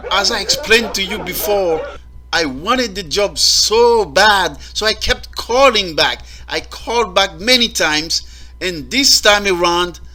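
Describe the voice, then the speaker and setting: A man from Guatemala performing a stand-up comedy set with a slow, deadpan delivery on a live stage. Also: high-pitched. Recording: loud audience laughter and noise.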